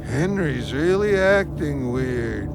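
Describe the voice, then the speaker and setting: A man's voice: medium pitch, expressive, takes it slowly, gravelly, poor audio. A man playing a worried peacemaker in an audio drama, urgent and pleading as he tries to stop a fight. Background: music and vehicle sounds.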